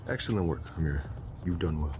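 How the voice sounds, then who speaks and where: An actor playing a calculating, patient villainous boss with a gravelly voice and formal, measured speech in an audio drama. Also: male voice, very deep voice, over music. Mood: scheming, composed. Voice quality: gravelly, creaky. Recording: clearly noisy ambience behind.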